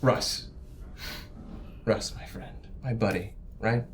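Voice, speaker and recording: A man playing an oily, menacing corporate agent in a tabletop game, cajoling and slipping into hushed threats. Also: on the low side, slow pace, slight background noise, pitched below the performer's own voice. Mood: coaxing. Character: slick, menacing male corporate agent, bribing and threatening crew. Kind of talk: improvised tabletop roleplay acting.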